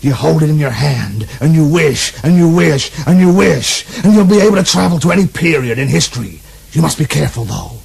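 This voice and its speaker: An actor playing a power-crazed rich American tycoon in a wheelchair, bombastic and prone to shouting, in a comic radio play. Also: male voice, a little high, very animated, brisk, creaky, full character voice. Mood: scheming.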